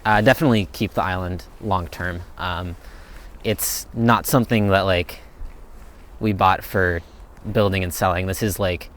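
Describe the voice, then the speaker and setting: A man's voice: sits low, steady tone, a little slow, fairly bright tone. A young man answering viewer questions in a casual, rambling chat, thinking aloud as he goes. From United States. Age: young adult.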